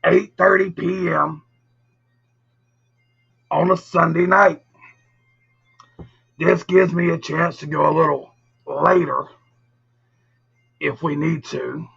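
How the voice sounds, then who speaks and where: Very gravelly, raspy male live-show host. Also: a little high, fairly even intonation, takes it slowly, pauses often, fairly bright tone. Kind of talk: casual live-stream chat with viewers. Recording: dull lo-fi audio, music behind. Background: music.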